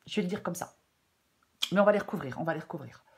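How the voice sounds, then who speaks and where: A woman with a French accent giving a tarot reading in a casual, hesitant way, slightly hoarse, with many fillers and repeated phrases. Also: on the low side, lively intonation, fast. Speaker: tarot reader. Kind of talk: casual spoken tarot guidance.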